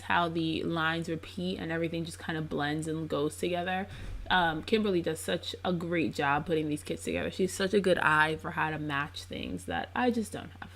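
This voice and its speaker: A woman narrating a crafting video in a casual, chatty voice-over. She sounds congested and under the weather. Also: on the low side. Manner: chatty and relaxed, with frequent ums. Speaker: scrapbook crafter narrating her process video.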